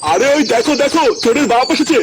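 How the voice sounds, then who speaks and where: A man's voice: very high-pitched, quick pace, a little hiss or hum. A man voicing an angry, contemptuous, colloquial villager in a comic cartoon. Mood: angry, mocking. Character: angry, accusing village man demanding punishment.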